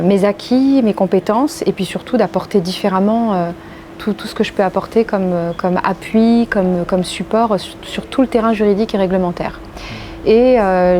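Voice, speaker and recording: A woman from France giving measured, reflective and earnest interview answers as a legal professional. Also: medium pitch, dark tone, slight background noise. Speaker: interviewee, corporate legal and compliance executive.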